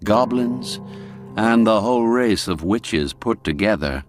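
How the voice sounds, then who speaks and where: A man narrating a classic story in a measured, theatrical and expressive way. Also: lowish voice, music in the background. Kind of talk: dramatic scripted story narration.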